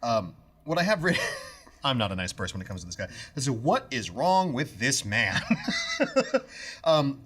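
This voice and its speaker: A man's voice: medium pitch, sing-song, normal speed, pauses often, fairly bright tone, husky. A man co-hosting a podcast, bantering casually and ranting with exasperation and slangy exclamations. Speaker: podcast co-host. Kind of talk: casual banter about video game movies.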